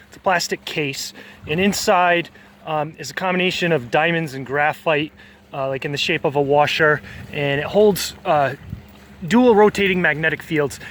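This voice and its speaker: A man's voice: on the high side, a bit bright, a little hiss or hum. A man, vlogging outdoors on a beach in a rambling, hesitant way, full of fillers and self-corrections. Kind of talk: casual walking vlog monologue.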